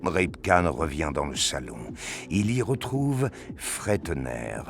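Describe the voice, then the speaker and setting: A man narrating a dark audio drama in a grave, deliberate, scripted style. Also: very deep voice, big pitch swings, creaky. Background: music.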